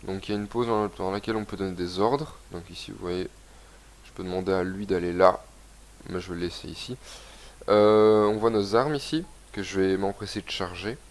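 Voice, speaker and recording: A young man with a head cold talks through a game session, his voice a bit low and stuffy. He rambles casually and reads the on-screen text aloud as he plays. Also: brisk. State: has a cold, congested. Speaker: gaming video host. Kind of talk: casual let's-play commentary.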